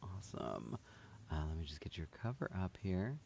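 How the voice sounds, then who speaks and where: Man, show host, lowish pitch, casual live chat, faint noise behind the voice. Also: big pitch swings, moderate pace, creaky, darkish tone. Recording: some background noise.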